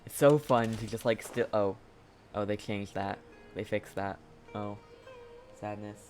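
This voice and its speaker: A woman streamer chats casually over a game. Her voice is strikingly deep and she talks at a slow, relaxed pace in short reactions, with faint music in the background. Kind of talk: casual live game commentary.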